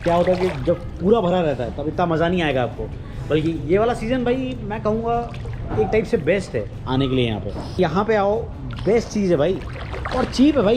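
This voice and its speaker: A high-pitched Indian man talks casually to camera as a travel vlogger, dropping English words into colloquial speech. The recording is noisy, with outdoor street and vehicle sound behind him. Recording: noisy outdoor vlog audio with traffic.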